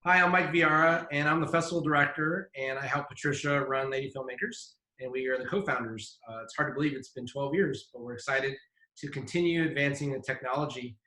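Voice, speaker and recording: Bright-voiced man in an echoey room. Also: mid-range voice, fairly even intonation, fairly quick. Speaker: festival director introducing a cast. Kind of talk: casual scripted introduction. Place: indoors on a web call. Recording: roomy, echoey web call.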